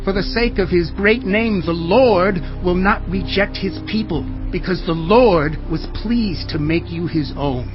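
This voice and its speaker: An actor playing an old gray prophet, solemn and emphatic, declaiming in a dramatized audio Bible. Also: male voice, high-pitched, a little slow, few pauses, highs cut off, over music. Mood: earnest, reassuring. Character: old gray prophet, stern, solemn leader addressing his people.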